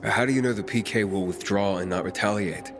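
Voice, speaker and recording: An actor playing a gruff, wary wandering mercenary in a video game, terse and blunt. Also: male voice, on the low side, fairly quick, music in the background, natural voice. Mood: wary, probing. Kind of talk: video game character acting.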